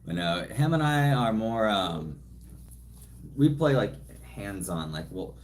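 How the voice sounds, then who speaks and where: A man's voice: on the low side, expressive, slow pace, slight background noise. A man from California hosting a casual live Q&A from home, rambling and self-deprecating as he reads viewer comments into a phone set a little way off. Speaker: livestream host. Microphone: phone set some distance away.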